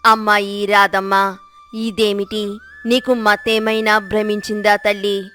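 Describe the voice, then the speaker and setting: A woman's voice: mid-range voice, flat delivery, measured pace, a bit bright, clear, resonant tone, soft background music, natural voice. A woman voicing a remorseful, fretful older village aunt in a storybook tale, anxious and beseeching. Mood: astonished scolding. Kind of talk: storybook character dialogue.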